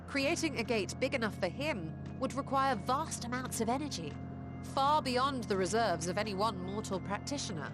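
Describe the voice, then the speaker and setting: A woman's voice: a little high, animated, moderate pace, over music, close to an everyday voice. A woman playing a scholarly, confident mage in a video game, explaining things in formal, articulate diction. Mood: measured, explanatory. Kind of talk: scripted video game dialogue.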